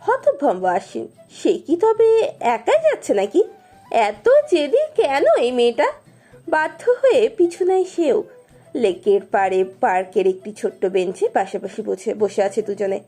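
A woman's voice: on the high side, very animated, normal speed. A woman narrating a romance story expressively from a script. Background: soft music.

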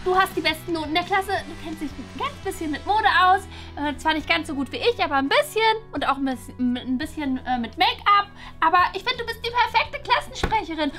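Casting: pushy, fashion-proud schoolgirl, played by a woman, extremely high, bright voice, dramatic delivery for children's toy play. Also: fast, faint music behind. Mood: eager, encouraging. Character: bossy, excitable, fashion-proud schoolgirl best friend.

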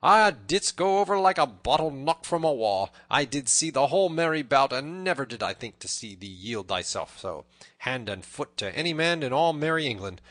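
A man's voice: medium pitch, big pitch swings, moderate pace, sharp tone, no echo. A man voicing a bold, merry, teasing outlaw leader with exuberant, old-fashioned storybook speech. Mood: mirthful, teasing. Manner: exuberant, mirthful archaic speech.

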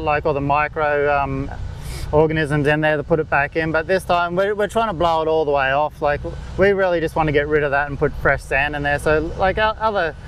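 A man, explaining golf green work in a relaxed way with many fillers, recorded outdoors on a course. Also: fairly high pitch, slight background noise, poor audio. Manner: laid-back, lots of "like" fillers. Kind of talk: casual explanatory interview.

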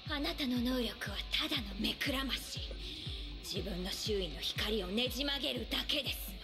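A woman's voice: fairly high pitch, moderate pace, close to an everyday voice. An actress playing a prim but fierce teenage girl enforcer who speaks in polite, ladylike formal phrases. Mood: analytical. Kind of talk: anime dub acting. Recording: voice over loud background music.

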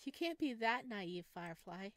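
A woman voicing a gentle, self-deprecating young male forest monster from a visual novel, soft-spoken and playfully teasing. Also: mid-range voice, very animated, moderate pace, no echo, natural voice. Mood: wry, teasing.

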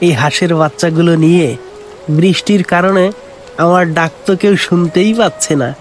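A man voicing a poor, humble duck seller who speaks meekly and pleads slowly. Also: sits high, steady tone, faint music behind, lightly acted. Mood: pleading. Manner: meek, pleading, slow.

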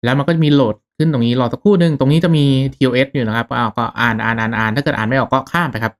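A man hosting a casual software tutorial, talking through each step in a polite, instructional manner. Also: mid-range voice, very fast.

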